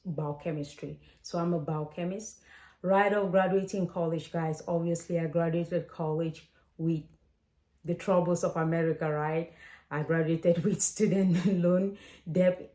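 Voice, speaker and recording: Woman from Cameroon, late twenties, vlog host; direct and chatty, mellow lowish voice. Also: echoey room. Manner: chatty, direct, addresses viewers as "guys". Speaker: financial coach and host. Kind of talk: casual to-camera advice vlog.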